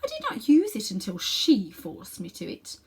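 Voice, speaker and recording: A woman's voice: medium pitch, huge pitch swings, moderate pace, husky, full character voice. A woman reading a children's storybook, voicing a proud, ruthless sorceress queen with haughty, emphatic, declamatory delivery. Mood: indignant, self-justifying.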